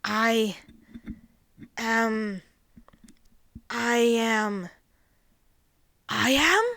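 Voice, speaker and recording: Woman putting on a loud, arrogant alien invader, pitched up. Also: fairly even intonation, very slow, creaky, dry recording, clean studio sound, full character voice. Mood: irritated, puzzled. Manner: shouting, bombastic, refers to himself in third person.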